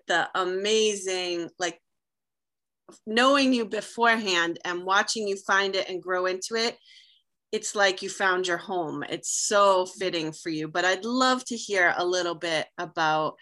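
A woman's voice: lowish voice, sharp tone. A woman hosting a casual interview who encourages and affirms her guest. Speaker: interview host.

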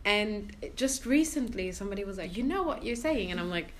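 A woman's voice: mid-range voice, expressive, brisk, a little hiss or hum. A woman in casual podcast chat who agrees often and shares her own experience. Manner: agreeable, frequent backchannels.

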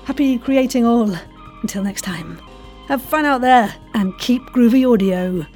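Casting: female podcast host, playful and effusive, higher-pitched, soft mellow tone, some music behind. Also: unhurried. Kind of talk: enthusiastic, chatty podcast hosting.

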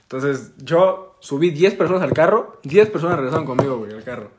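Young northern Mexican man, teasing slangy cohost, slightly high voice. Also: lively intonation, brisk, a little mellow. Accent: northern Mexican Spanish. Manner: teasing, slangy, ends lines with güey. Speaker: podcast co-host. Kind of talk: casual chat among friends.

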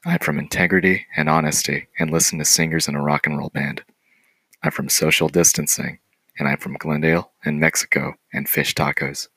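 A teenage boy reading his own poem aloud in a steady, plain way. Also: extremely low pitch, fairly quick, breathy edge, dry recording. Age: teens. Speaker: student.

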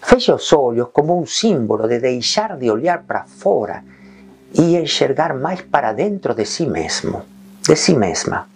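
A man leading a guided meditation in calm, gentle short phrases with long pauses. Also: mid-range voice, breathy edge.

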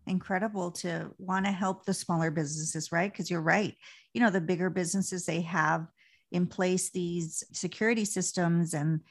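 Woman podcast host, lowish voice, keeps saying encouraging stuff to the guest. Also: fairly even intonation, moderate pace. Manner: friendly and affirming, with frequent short encouragements. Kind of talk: casual interview hosting.

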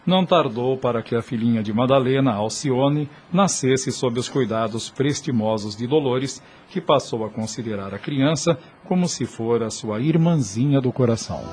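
A man's voice: mid-range voice, moderate pace, hardly any pauses, darkish tone, a little hiss or hum. A man with a Brazilian accent giving dramatic, scripted narration for a radio soap opera.

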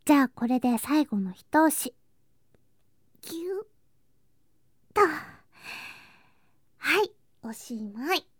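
A woman playing an affectionate, playful younger girl cousin in an intimate ASMR voice drama, speaking softly and coaxingly and slipping into whispers. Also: sits high, animated, slow pace, long pauses, no room sound, clean studio sound. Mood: caring, affectionate. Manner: soft, sweet, coaxing, drifting into whispers and singsong. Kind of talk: ASMR situation voice drama, intimate roleplay to listener.

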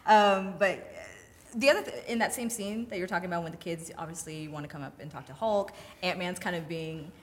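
A woman co-hosting a casual film discussion in an enthusiastic, excitable way. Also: fairly low pitch, brisk. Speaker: podcast co-host.

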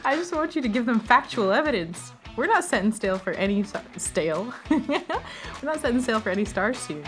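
A playful woman co-hosts a casual science podcast, trading jokes and laughing easily. Her pitch swings widely as she talks. Faint music sits underneath. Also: animated, fairly bright tone. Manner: playful, jokey, quick to laugh. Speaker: podcast co-host.

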